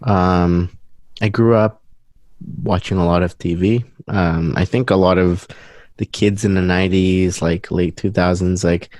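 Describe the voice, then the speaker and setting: A young man from Guatemala chatting casually as an interview guest, searching for his words in a halting, informal way. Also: sits low, fairly even intonation, a little mellow, no room sound.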